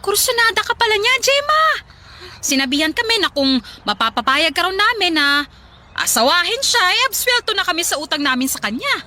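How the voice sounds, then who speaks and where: A woman's voice: very high-pitched, big pitch swings, fairly quick, a little hiss or hum, full character voice. A Filipino woman playing a poor, debt-ridden mother in a radio drama, coaxing and guilt-tripping as she presses her case. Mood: anxious, coaxing. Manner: coaxing, guilt-tripping, hesitant then insistent. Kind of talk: Tagalog radio drama acting. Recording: loud music under the voice.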